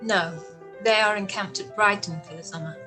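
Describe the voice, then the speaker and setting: A woman playing a poised, quick-witted young gentlewoman in a period radio play, courteous and composed in manner. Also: medium pitch, soft background music, natural voice. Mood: cool, guarded.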